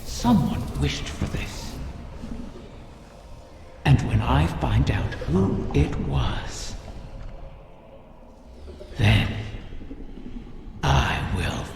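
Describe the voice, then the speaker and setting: A performer voicing an ancient, cunning female dragon that grants wishes, speaking with slow, measured menace in a layered, otherworldly voice for a game cutscene. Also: mid-range voice, sing-song, vocal fry, slight background noise, exaggerated voice. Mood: coldly scheming. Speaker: video game character. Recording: echoing cutscene with loud score.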